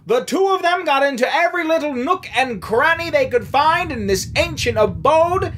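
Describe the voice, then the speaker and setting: A woman's voice: high-pitched, lively intonation, moderate pace, fairly bright tone. A woman reading scripted narration for a radio play.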